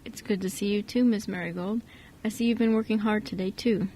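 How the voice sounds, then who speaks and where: A woman playing a kindly, courteous silver-haired man in an amateur radio play, speaking calmly. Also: medium pitch, brisk, lightly acted, poor audio. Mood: calm, polite. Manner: calm, courteous.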